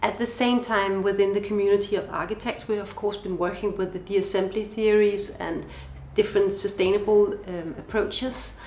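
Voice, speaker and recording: A woman's voice: mid-range voice, steady tone, moderate pace, few pauses, a little mellow, slight background noise. Danish-accented woman in a roomy space. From Denmark. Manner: thoughtful, lists questions, some restarts. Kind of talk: podcast interview answers. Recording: roomy echo.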